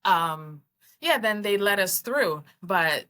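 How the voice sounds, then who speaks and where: A woman's voice: medium pitch, takes it slowly. An American woman co-hosting a travel channel, chatting casually and acting out the people in her stories. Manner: animated storytelling, acts out what people said. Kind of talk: casual chat.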